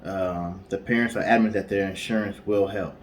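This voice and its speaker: A man's voice: deep voice, steady tone, brisk. A man co-hosting a casual storytelling show, speaking conversationally with informal asides. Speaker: co-host.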